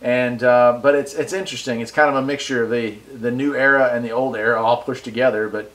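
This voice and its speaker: An American man chats casually as he reviews gear for a video. His voice sits at a middle pitch, and he sounds enthusiastic and folksy. He is recorded on a wireless mic. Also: steady tone, fairly quick, fairly bright tone, poor audio. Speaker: radio hobbyist and video reviewer. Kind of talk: casual product review and radio chat. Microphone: wireless mic set between him and the radio.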